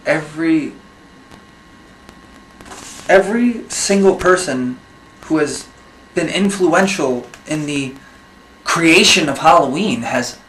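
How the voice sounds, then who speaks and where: A man plays a doubtful presenter in a mock facts segment. He is slow, hesitant and deadpan, a little high in pitch, in an echoey room. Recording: echoey room with faint hiss.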